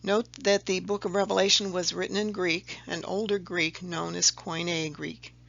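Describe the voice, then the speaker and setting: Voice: mid-range voice, level tone, moderate pace, vocal fry, fairly bright tone, muffled recording. A presenter giving a slide-by-slide voice-over explanation of a table, methodical and step by step, sometimes correcting themselves. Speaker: video presenter explaining a letter-number table.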